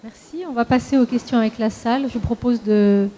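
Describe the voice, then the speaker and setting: A woman's voice: a little high, fairly quick, dark tone. A woman moderating a conference, brief and direct.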